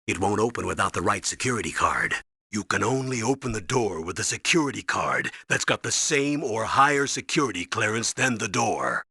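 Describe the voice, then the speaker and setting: Older American military commander for a game: authoritative, clipped orders, gravel-edged, dry close sound. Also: male voice, fairly low pitch, sing-song, moderate pace, pushed and bright, no echo, exaggerated voice. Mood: instructive. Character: stern older military colonel giving mission orders by radio. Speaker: mission commander and briefer. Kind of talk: scripted video game radio briefing.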